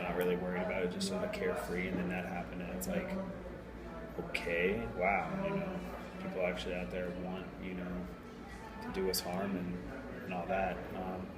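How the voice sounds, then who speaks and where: A man's voice: sits low, animated, normal speed, over loud music. A man in his thirties from Tennessee, answering interview questions casually with many fillers and restarts. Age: 30s. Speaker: interviewee, restaurant general manager. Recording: echoey room with loud crowd noise. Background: crowd chatter.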